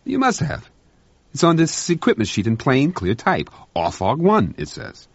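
A man's voice: mid-range voice, huge pitch swings, moderate pace, breathy edge, dry recording, muffled recording, pitched above the performer's own voice, heavily acted. Man doing a fussy, insistent ship's officer. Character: harried spaceship officer doing inventory.

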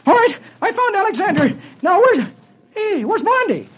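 A man voicing a frantic, exasperated young husband and father in an old radio comic-strip reading, yelping and pleading excitably. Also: very high-pitched, moderate pace, voice pushed up, full character voice. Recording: dull old radio recording, music behind.

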